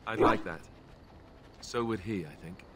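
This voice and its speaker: Low-voiced earnest young warrior, game ambience. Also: male voice, measured pace, a bit bright, a little hiss or hum. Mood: subdued, sincere. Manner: hesitant, apologetic, sincere. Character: young male warrior, earnest, awkward, grieving companion. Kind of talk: fantasy video game dialogue acting.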